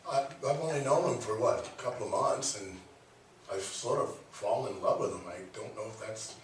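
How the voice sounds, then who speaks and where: A man's voice: lowish voice, lively intonation, a little fast. A man hosting a local radio talk show, chatting casually in a joking, teasing, playful way. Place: radio studio. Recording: echoey room, distant mic, faint noise.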